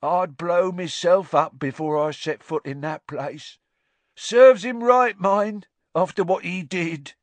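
A man voicing a gloomy male bus driver who talks slowly and darkly, in grunts. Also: sits high, no echo, voice pushed up. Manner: slow, grunting, dark.

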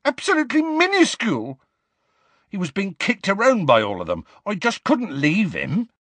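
A man acts out an alarmed young girl from a storybook. The voice is pitched high and shrill, leaping about with indignant disbelief, in a dry close recording. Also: wildly swooping pitch, slow pace, a bit bright, no room sound, full character voice. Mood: hysterical sarcasm. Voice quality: shrill, breathy when alarmed. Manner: incredulous, exasperated questioning.

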